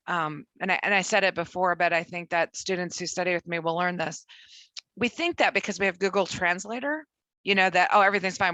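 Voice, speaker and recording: A woman instructor speaks in a bright, clear voice over a video call. She is chatty, circling back and restarting her sentences, with a lot of "you know". The sound is dry, with no room echo. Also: medium pitch. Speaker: economics and finance instructor.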